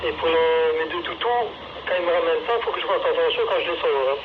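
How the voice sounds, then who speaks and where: A fairly high-voiced man talks over a two-way radio in a casual, rambling way. The transmission is dull and clearly noisy, and he often hesitates as he goes. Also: fast talker. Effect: walkie-talkie radio. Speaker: amateur radio operator.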